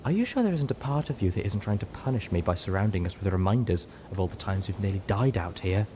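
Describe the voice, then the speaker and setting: A man's voice: on the low side, animated, fast, mellow, slight background noise, close to an everyday voice. A man voicing a refined female pony with a frayed temper, haughty, snide and weary in a story reading. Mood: bitter.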